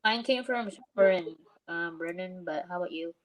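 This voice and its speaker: A woman's voice: on the low side, brisk, rough recording. Woman, eager, questioning, many restarts, casual. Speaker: chat participant. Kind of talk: casual video chat.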